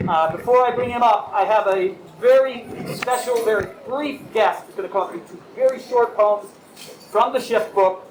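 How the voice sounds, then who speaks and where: A man's voice: sits high, normal speed. A man hosting a live poetry night, giving casual, upbeat introductions. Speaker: event host. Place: bar venue, live audience. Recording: echoey, noisy live venue.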